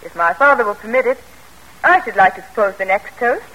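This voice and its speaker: Young society daughter, lively swooping intonation, bright slightly rough tone, gracious, formal, old radio play. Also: female voice, mid-range voice, fairly quick, low-quality recording. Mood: gracious, proclaiming. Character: poised, tactful young daughter of a politician. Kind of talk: 1940s radio acting. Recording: vintage radio broadcast, rough and worn.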